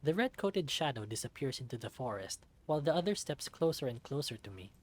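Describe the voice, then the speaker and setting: A man's voice: medium pitch, very animated, fairly quick. A man reading a visual novel aloud in dramatic first-person narration.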